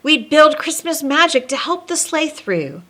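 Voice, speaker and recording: A woman storyteller reading a children's picture book aloud expressively, with dramatic pauses and chatty asides as host. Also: high-pitched, very animated, pushed and bright.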